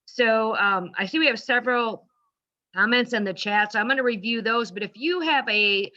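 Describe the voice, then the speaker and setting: A woman hosts a virtual event in an informal, conversational way. Her voice is bright and crisp-edged, though the video-call sound is a little dulled. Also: on the high side, normal speed. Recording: slightly dull video-call audio.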